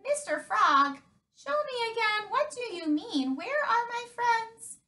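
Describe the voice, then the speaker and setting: A woman's voice: extremely high pitch, very animated, slow, pushed and bright, distant mic, full character voice. A woman voicing a sweet, curious young male unicorn who is searching for his friends, in a wondering, pleading way. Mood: questioning.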